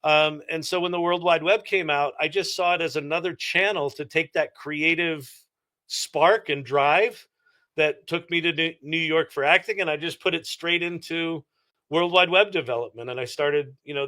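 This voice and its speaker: A man's voice: fairly high pitch, bright, forceful tone. A middle-aged man giving casual, reflective and explanatory answers as an interview guest. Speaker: interview guest, entrepreneur and former actor.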